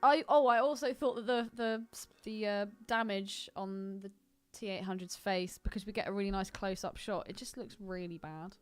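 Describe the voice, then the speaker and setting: A woman co-hosting a podcast in casual, irreverent chat, speaking in an expressive, blunt way. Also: mid-range voice, moderate pace, few pauses, faint music behind. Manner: expressive and blunt, prone to exclaiming and giggling. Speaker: podcast co-host.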